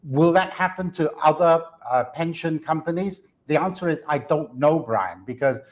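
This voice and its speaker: A man's voice: a little high, moderate pace. A man, a guest commentator on markets, speaking earnestly in a live business interview with frequent fillers and restarts. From Hong Kong.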